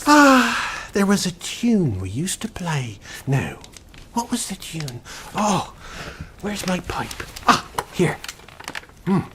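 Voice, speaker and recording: Genteel, swooping faun, courteous and wistful. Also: female voice, on the low side, slow pace, background noise, full character voice. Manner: genteel, flowery, theatrically expressive. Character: courteous, nervous, wistful faun host of a snowy fantasy land. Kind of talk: British children's radio drama acting.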